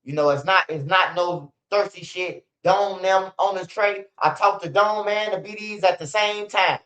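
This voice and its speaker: A man in his forties talks in a slightly high voice, rambling through street stories on a livestream. He is blunt and profane and keeps dropping "bro" and "man." The sound is dull and lo-fi. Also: moderate pace, bright, forceful tone. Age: 40s. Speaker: host of a street news channel. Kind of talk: casual livestream storytelling.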